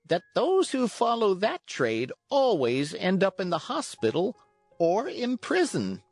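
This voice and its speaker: A narrator plays an old male talking cricket from a storybook, tiny and pitched high. He moralizes with calm patience, his pitch rising and falling in a lively way. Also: unhurried, no echo, faint music behind. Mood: calm, preachy. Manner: calm, preachy.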